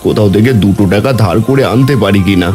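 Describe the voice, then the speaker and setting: A man playing a poor, luckless fisherman husband in a cartoon, weary, gentle and apologetic. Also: fairly low pitch, quick pace, faint music behind, natural voice.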